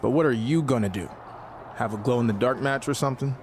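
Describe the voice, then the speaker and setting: An actor playing a cocky, determined young pro wrestler in a video game cutscene, speaking with confident challenge. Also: male voice, mid-range voice, fast, a little hiss or hum, natural voice. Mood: wry.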